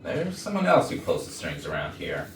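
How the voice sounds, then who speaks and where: A man's voice: sits low, huge pitch swings, moderate pace, heavily acted. A man playing a pompous, boastful, scheming office striver in a staged comedy reading. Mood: smug. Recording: echoey room far off, faint noise.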